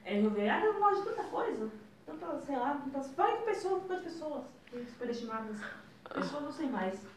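A young woman, co-hosting a casual chat podcast, blunt and quick to swear. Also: high voice, sing-song, distant mic, a little hiss or hum. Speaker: podcast co-host. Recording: roomy, mic set back, faint ambience.

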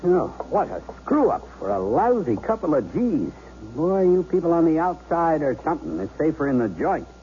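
An actor playing a jittery, hot-tempered small-time bank robber with rough, slangy street talk in a 1970s radio drama. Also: male voice, fairly high pitch, very animated, normal speed, mellow. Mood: disgusted, bitter. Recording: muffled, noisy old radio broadcast.

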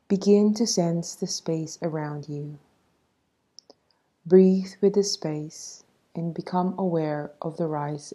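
A woman leading a guided meditation in a soft, breathy voice, soothing and measured as she gives instructions. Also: deep voice.

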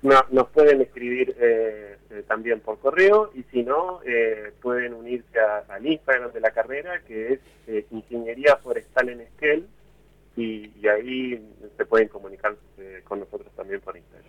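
A man's voice: mid-range voice, moderate pace, dry recording. A man who is a university forestry lecturer, calling in as a radio guest and talking hesitantly with many filler sounds and a light touch of humor. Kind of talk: radio interview by phone. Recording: dull, dry lo-fi phone line.

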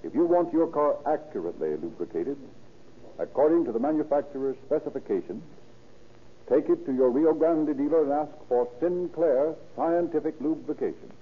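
A man's voice: fairly high pitch, lively intonation, moderate pace, husky. American man announcing on muffled old radio. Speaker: announcer and narrator. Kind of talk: 1930s radio narration and sponsor announcing. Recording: muffled 1930s radio, faint noise.

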